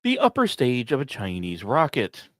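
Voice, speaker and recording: A male podcast host delivers scripted narration in a medium-pitched voice. He leans into dramatic pauses and slips in wry, informal asides. The sound is dry, with no room on it. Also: wildly swooping pitch, a bit bright, dry recording.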